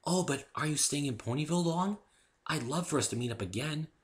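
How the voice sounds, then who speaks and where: A man voicing a meek, gentle young female pony, polite and apologetic, in a dramatic story reading. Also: on the high side, animated, a little fast, pitched above the performer's own voice, lightly acted. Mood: warm. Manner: meek, soft-hearted, apologetic.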